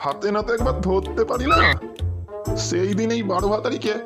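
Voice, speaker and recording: Man playing a bitter, high-pitched cartoon husband. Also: moderate pace, over music. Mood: resentful. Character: bitter, suspicious, foul-mouthed husband plotting divorce. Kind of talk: comic cartoon monologue.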